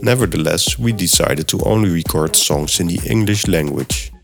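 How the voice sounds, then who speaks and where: A man's voice: low-pitched, moderate pace, creaky, music in the background. A middle-aged man, a native Dutch speaker with a Dutch accent, hosting a podcast and reading scripted segments with step-by-step explanations. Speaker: podcast host. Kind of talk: scripted podcast narration and software tutorial.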